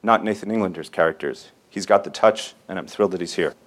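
A man reading a formal, erudite introduction as an event host, with deliberate phrasing. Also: deep voice, slight background noise. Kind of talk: scripted literary introduction read aloud.

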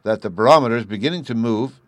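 A man's voice: medium pitch, fast talker, no echo, lightly acted. A man voicing a jolly, absent-minded old professor who talks in a fussy, distracted way.

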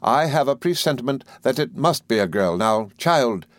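A man's voice: mid-range voice, lively intonation, moderate pace, no echo, pitched above the performer's own voice, close to an everyday voice. A man voicing a domineering, brusque older English aunt in an audiobook, with emphatic, commanding delivery. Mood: insistent. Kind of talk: audiobook character voice.

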